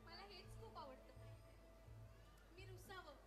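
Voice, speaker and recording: An actress plays a strong-willed young woman disillusioned in love, with an extremely high voice and an earnest, dramatic stage delivery. She is heard from a distance in an echoey space, with loud music. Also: takes it slowly. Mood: wistful. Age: young adult. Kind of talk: one-act stage play dialogue.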